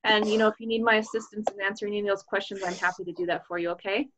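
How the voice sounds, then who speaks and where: A woman's voice: medium pitch, fairly even intonation, very fast, noisy background. A woman giving an earnest, upbeat recruiting presentation over a video call, with casual fillers. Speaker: business presenter.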